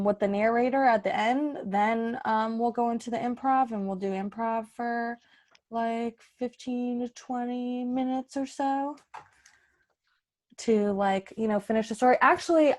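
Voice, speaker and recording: A woman chatting casually as a podcast organizer, thinking out loud in an informal, tentative way. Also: fairly high pitch. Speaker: podcast host and improv organizer. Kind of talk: casual planning chat.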